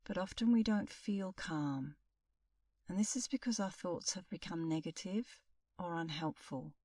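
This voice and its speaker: A middle-aged woman leading a guided meditation as an instructor, speaking in a calm, soothing, unhurried way with long pauses. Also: lowish voice, no echo, far from the mic.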